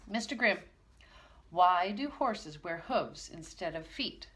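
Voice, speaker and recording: A woman's voice: animated, slow pace, fairly bright tone. A woman voicing a mischievous male pixie in a storybook, gleeful and cheeky. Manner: gleeful, bouncy, high.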